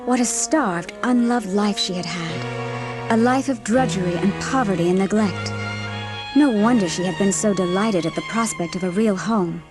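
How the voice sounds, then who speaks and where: A woman's voice: medium pitch, normal speed, over music, close to an everyday voice. A woman giving scripted narration for a dramatised audiobook.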